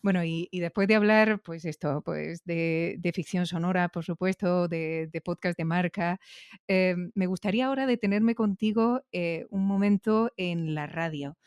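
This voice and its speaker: A woman's voice: fairly low pitch, moderate pace, darkish tone, clear, resonant tone, no echo, dull audio. A woman with a Castilian Spanish accent hosting a conversational interview, building long, thoughtful questions and checking in with little tags. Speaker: podcast interviewer.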